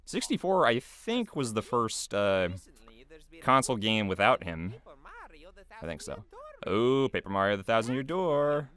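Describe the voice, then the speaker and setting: A man's voice: mid-range voice, sing-song, measured pace, a bit bright. A man giving casual, rambling, self-effacing behind-the-scenes commentary in a chatty way. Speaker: video creator giving commentary. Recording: music playing behind the voice. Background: music.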